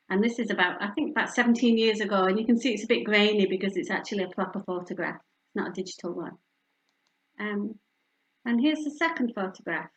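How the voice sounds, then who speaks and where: A woman's voice: mid-range voice, low-quality recording. A middle-aged woman with a Yorkshire accent giving a candid, personal community talk on planning ahead, full of fillers and asides. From Yorkshire, England. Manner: warm, candid, personal storytelling with frequent fillers. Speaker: speaker from a hospice charity.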